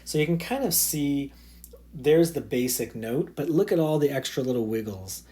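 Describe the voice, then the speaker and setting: A man's voice: mid-range voice, expressive, moderate pace, dark tone. Man teaching physics in easy, loose talk. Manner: casual, loose explaining with many fillers. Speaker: high school physics teacher. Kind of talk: recorded slide lesson.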